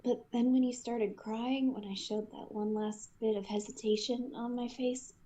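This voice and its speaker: A woman's voice: fairly high pitch, fairly even intonation, moderate pace, dark tone, lightly acted. A woman playing a tender, hesitant, sincere young woman in love, in a fan dub style. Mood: moved, loving. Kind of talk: fan comic dub acting.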